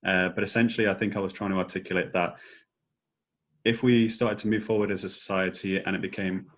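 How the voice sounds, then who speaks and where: A man's voice: deep voice, fairly even intonation, brisk, a bit bright. A man posing questions in a panel discussion, hesitantly and carefully searching for his wording. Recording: dull, lo-fi audio.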